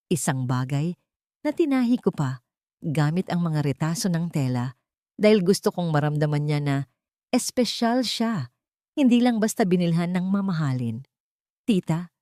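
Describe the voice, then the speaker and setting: A woman's voice: on the low side, warm tone, dry recording. A woman storyteller narrating a dramatic first-person audio story in an earnest, emotional way, on a close studio mic.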